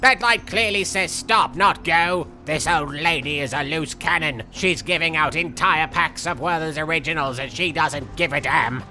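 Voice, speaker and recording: Very bright, vain cartoon villain. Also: male voice, fairly high pitch, normal speed, hardly any pauses, faint music behind. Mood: vain, jokey. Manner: theatrical, petulant, jokey. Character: excitable, vain male cartoon villain playing a video game. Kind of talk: in-character let's play commentary.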